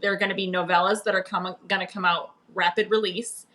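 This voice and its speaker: A woman's voice: fairly low pitch, little pitch movement, a little fast, fairly bright tone. A woman author chatting casually as a guest, with an informal and enthusiastic way of talking. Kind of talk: casual chat.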